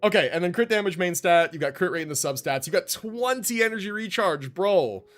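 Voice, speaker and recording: Animated, higher-pitched man streaming a game. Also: a little fast, faint music behind. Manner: conversational, explanatory, often checking with "right?". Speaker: game livestreamer. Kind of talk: casual livestream commentary reviewing a game build.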